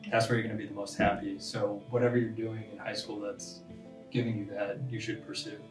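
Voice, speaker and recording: Low-voiced man giving advice, distant. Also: flat delivery, a little fast. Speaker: podcast co-host. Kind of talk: casual panel chat. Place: conference room around a table. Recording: roomy, distant with music behind.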